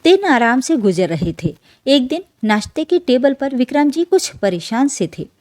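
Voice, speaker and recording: Mellow, lively higher-voiced woman storyteller. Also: normal speed, no room sound. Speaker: storyteller, narrator. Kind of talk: scripted dramatic narration of an emotional family story.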